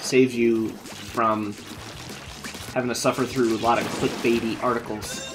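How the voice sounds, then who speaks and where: A man's voice: mid-range voice, level tone, a little slow, noisy background. Chatty male gamer losing his voice. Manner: chatty, thinking aloud while playing. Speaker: gaming live streamer. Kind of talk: casual live-stream commentary.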